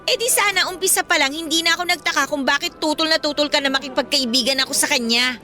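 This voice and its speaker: Bright, high-voiced, defiant young Filipina girlfriend, acted by a woman. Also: quick pace. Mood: accusing. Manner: assertive, emphatic. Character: defiant young girlfriend, outspoken and loyal to her friend. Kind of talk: radio-drama dialogue acting. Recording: music bed behind the voice.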